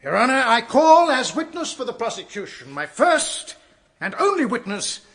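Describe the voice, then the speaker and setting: An actor playing a formal, deferential British prosecuting barrister with an orotund delivery in a radio comedy drama. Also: male voice, extremely high pitch, sing-song, normal speed, a bit bright, heavily acted. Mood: formal, declaiming.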